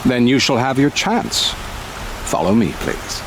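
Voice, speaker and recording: A man's voice: medium pitch, lively intonation, unhurried, noisy background. A man playing a shrewd, formal royal advisor with courtly phrasing in a video game cutscene. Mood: polite urgency.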